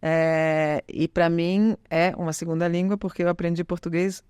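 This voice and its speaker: Italian-born middle-aged woman, native italian speaker, smooth clear voice, rambling self-correcting talk. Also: on the low side, flat delivery, normal speed, darkish tone, no room sound. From Italy. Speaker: podcast guest. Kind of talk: casual conversational interview.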